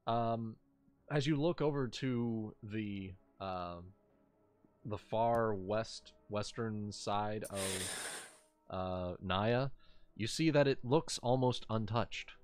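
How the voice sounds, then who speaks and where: Slow, halting man running a game. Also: lowish voice. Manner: hesitant, halting delivery. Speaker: game master. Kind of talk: narrating a tabletop game, casual.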